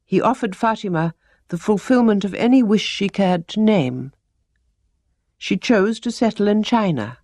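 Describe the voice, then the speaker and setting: A woman reading teaching stories as scripted narration, measured and deliberate. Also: mid-range voice, warm tone, no echo. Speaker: storyteller reading teaching tales.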